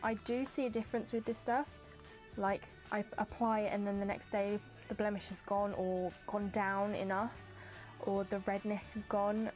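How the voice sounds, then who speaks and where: A young woman with a stuffy, congested voice talks through her beauty favourites in a loose, rambling way, full of "like" and "kind of". Her pitch sits in an ordinary middle range. Soft music plays faintly underneath. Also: little pitch movement, moderate pace. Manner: chatty and rambling, lots of "like" and "kind of". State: congested from hay fever. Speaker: beauty vlogger. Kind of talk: casual chatty product review. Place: hot indoor room with windows open.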